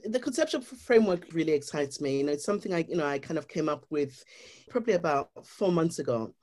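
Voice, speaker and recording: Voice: medium pitch, lively intonation, quick pace, a little mellow. Earnest speaker with a Zimbabwean accent. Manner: earnest, explanatory, with frequent "you know". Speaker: guest. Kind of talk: reflective interview talk.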